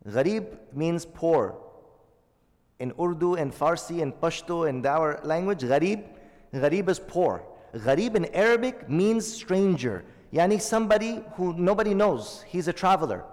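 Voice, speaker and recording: A man's voice: a little high, darkish tone. Man teaching in an echoing hall. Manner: explains Arabic terms, asks the audience questions. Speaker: Islamic teacher. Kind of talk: hadith lesson to a congregation.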